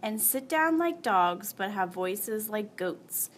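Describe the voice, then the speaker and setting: A woman with a medium-pitched voice reads a storybook aloud at an unhurried pace. A faint rumble sits in the background. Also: expressive. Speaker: staff member reading aloud. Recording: faint low rumble behind.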